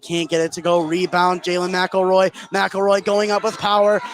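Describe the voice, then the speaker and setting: A man doing live basketball play-by-play on the radio, narrating the action in short running phrases. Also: a little high. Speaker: play-by-play commentator. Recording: noisy live gym broadcast.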